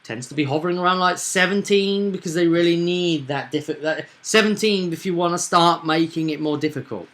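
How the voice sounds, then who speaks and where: A man's voice: on the high side, fairly quick, few pauses, a bit bright. A man chatting casually as a game master, explaining a tabletop roleplaying game and often checking for agreement with tag questions.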